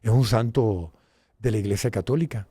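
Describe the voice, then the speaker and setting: A Puerto Rican man hosting an educational talk show, emphatic and animated, dropping to low asides and rising to loud exclaims. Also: moderate pace, husky, no echo, studio quality. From Puerto Rico. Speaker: host.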